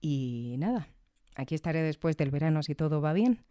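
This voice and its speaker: A woman's voice: sits low, lively intonation, moderate pace, dark tone, smooth, no echo, studio quality. A woman hosting a podcast, commenting conversationally and reflectively, often adding little tag questions. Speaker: podcast host and reviewer.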